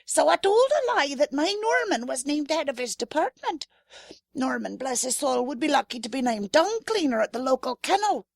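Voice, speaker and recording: A gossipy, indignant older churchgoer in an audio drama. The voice is high and creaky, swinging widely in pitch. It is recorded dry and close. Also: female voice, sing-song, normal speed, no room sound, heavily acted. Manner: fussy, indignant. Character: gossipy, envious older churchgoing mother at confession.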